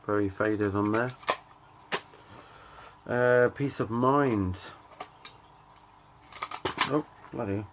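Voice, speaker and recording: A middle-aged man giving a casual show-and-tell of his collection, talking in a halting, offhand way and reading out titles in short bursts. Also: lowish voice, noisy background. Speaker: record collector and channel host.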